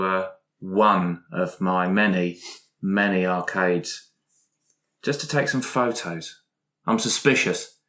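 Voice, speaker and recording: Self-satisfied crooked arcade owner, played by a man, lowish voice, insinuating, roomy sound. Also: fairly bright tone. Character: shady, self-satisfied male arcade businessman. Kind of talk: improvised in-character monologue.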